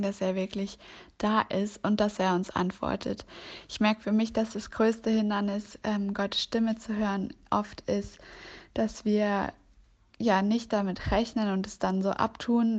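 A woman's voice: mid-range voice, darkish tone. A woman speaking about faith in a podcast, earnest as she explains scripture, with many fillers.

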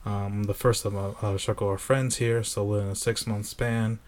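Casual male podcast guest, age thirty, lowish voice, easygoing rambling delivery. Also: normal speed. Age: 30s. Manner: relaxed storytelling, many fillers. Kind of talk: casual chat.

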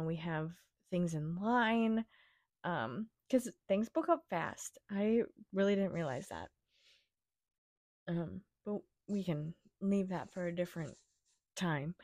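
A young woman hosting her own podcast, her voice raspy from a week-long cough, chatting in a gentle, reassuring, rambling way. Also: medium pitch, no room sound, clean studio sound. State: raspy from a cold. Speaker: podcast host. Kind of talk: casual solo podcast chat.

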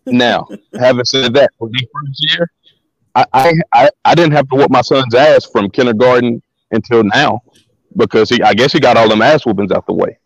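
Deep-voiced man telling stories, lo-fi line. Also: moderate pace, gravelly, no echo. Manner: relaxed, slangy, repeats words as he talks. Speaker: guest. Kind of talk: casual storytelling interview.

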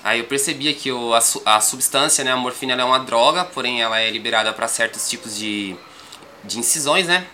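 Young guy w a sao paulo accent, really bright tone, laid back rambly podcast chat, bit of background hiss. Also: medium pitch, brisk. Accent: São Paulo Portuguese. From Brazil. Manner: calm, rambling, frequent tag questions. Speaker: rapper guest. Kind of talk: casual podcast interview.

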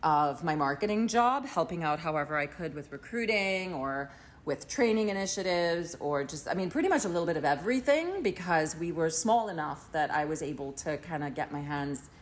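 Low-voiced woman guest from Trinidad. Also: animated. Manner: thoughtful, many "um" pauses, laughs easily. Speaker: podcast guest, HR executive. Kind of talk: casual interview chat.

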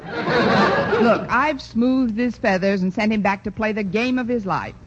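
An actress playing a dry, sarcastic, quick-witted schoolteacher in a 1940s radio sitcom. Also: female voice, on the high side, natural voice. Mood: wry. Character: wisecracking, sardonic female schoolteacher. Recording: noisy lo-fi vintage radio broadcast.

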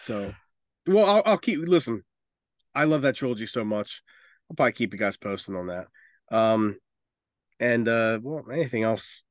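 Male podcast co-host, mid-pitched and closely recorded, opinionated and loose-tongued in casual conversation. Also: lively intonation, moderate pace, long pauses, dry recording, clean studio sound. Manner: rambling, opinionated, sweary. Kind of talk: casual chat about movies and video games.

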